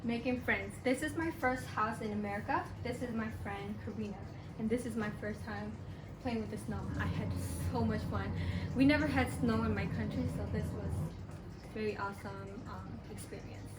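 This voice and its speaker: A young woman from Burma giving a class presentation in a halting way, often pausing and restarting. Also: mid-range voice. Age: young adult. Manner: halting, with frequent pauses and restarts. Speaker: college student presenter. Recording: echoey room, distant mic, background noise.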